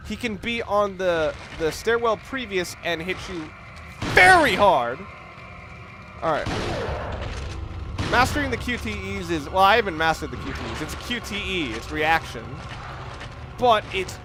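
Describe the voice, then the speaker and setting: A man's voice: high voice, background noise. A man giving casual, analytical video game commentary, rambling and correcting himself as he goes. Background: video game sounds.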